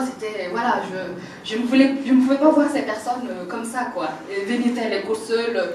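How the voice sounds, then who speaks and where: Woman, 40s, Burkinabe French accent, animated storyteller with hesitations; slightly high voice, distant mic in a reverberant room. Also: slight background noise. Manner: animated, laughing, many hesitations. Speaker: makeup artist telling her life story. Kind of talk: personal life story talk. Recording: roomy, distant mic, faint ambience.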